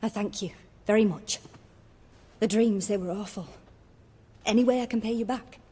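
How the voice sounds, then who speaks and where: An actress playing a refined, weary female seer in a video game, speaking formally and with measured words. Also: medium pitch, warm tone, breathy edge, natural voice. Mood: shaken, weary. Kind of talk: video game character acting. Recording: long reverb, some ambient noise.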